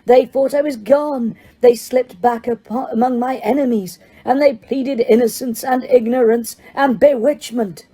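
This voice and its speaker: A chilling male dark lord, as a woman reader puts him on, speaking slowly in a quiet, mellow voice raised above her own. He hisses out his threats with cold, unhurried menace. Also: fairly high pitch, fairly even intonation, breathy edge, pitched above the performer's own voice. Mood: brooding.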